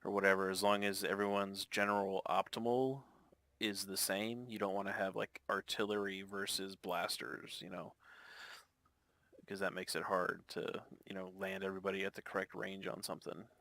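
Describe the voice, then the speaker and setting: Gravelly low-voiced man in his thirties. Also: level tone, sharp tone. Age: 30s. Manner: measured, explains game tactics in detail. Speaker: gamer in voice chat. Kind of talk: casual voice chat.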